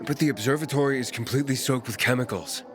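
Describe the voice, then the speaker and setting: A man's voice: medium pitch, fast, music in the background, close to an everyday voice. An actor playing a guarded, impatient young male survivor in a video game, with a terse, questioning manner. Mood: guarded, curious.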